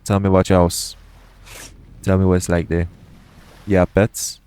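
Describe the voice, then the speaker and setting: A man playing a roguish, caring pirate captain in an intimate audio roleplay, speaking in a soothing, gently teasing manner. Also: deep voice, little pitch movement, a little slow, clear, resonant tone. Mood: soothing, reassuring.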